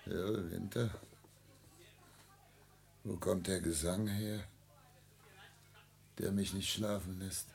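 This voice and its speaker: An actor playing a proud, broken elderly gentleman in a classic radio drama, slow and brooding, his gravelly voice falling into hoarse whispers. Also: low voice, fairly even intonation, full character voice. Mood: haunted, weary. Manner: slow, brooding, theatrical delivery. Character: broken, proud elderly privy councillor, weary and despairing. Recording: old noisy radio recording with hum.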